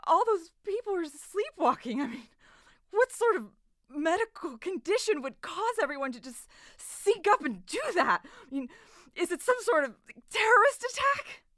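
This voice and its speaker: A distressed island mother in an audio drama. Her voice is very high and sharp, emotional and questioning. The recording is dry. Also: sing-song, normal speed, no room sound, exaggerated voice. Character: distressed, outraged island mother of missing daughter.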